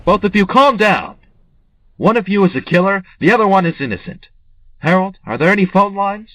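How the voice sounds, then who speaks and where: A man's voice: high voice, expressive, brisk, pushed and bright, slight background noise, low-quality recording. An actor in an audio drama playing a tense, bossy armed man who takes charge and interrogates people urgently. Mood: stern. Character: tense male amateur investigator with a gun, commanding.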